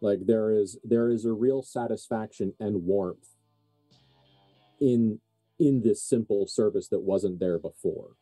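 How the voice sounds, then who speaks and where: A man's voice: lowish voice, unhurried, mellow, dry recording, soft background music, poor audio. A man running a tabletop roleplay game as game master, narrating in a casual, conversational way.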